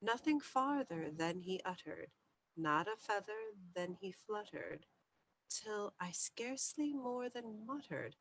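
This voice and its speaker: A woman storyteller giving a deliberate, theatrical bedtime reading of gothic poetry, sometimes hushed. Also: medium pitch, expressive, poor audio.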